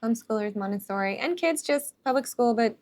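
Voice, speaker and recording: A woman from California co-hosts a family vlog beside her partner. She chats casually, backing him up with quick agreement and filling in lists of details. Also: fairly high pitch, lively intonation, a little mellow. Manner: affirming, adds lists and details. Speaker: vlog co-host. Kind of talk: casual chat.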